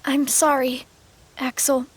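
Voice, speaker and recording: An actress playing a gentle, sorrowful teenage girl in a video game, soft and sincere. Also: high voice, little pitch movement, slow, very noisy. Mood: pleading. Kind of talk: video game cutscene voice acting.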